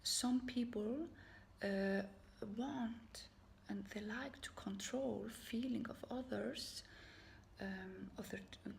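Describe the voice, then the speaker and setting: A woman teaching yoga and energy healing, talking to camera in an earnest, halting way with many fillers and soft, half-whispered phrases. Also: mid-range voice, gravelly, a little hiss or hum. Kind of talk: unscripted talk to camera.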